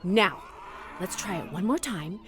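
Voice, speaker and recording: A woman playing an encouraging high school drama teacher in a comedic audio drama. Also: big pitch swings, a little slow, a crowd in the background. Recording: music behind the voice.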